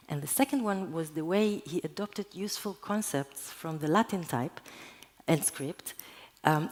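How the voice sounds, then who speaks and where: Hebrew-native woman in her twenties or thirties, lower pitch, earnest lecturing delivery. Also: a little mellow. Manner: earnest, explanatory, with occasional restarts. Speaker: conference speaker. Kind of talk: conference talk presentation. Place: conference hall.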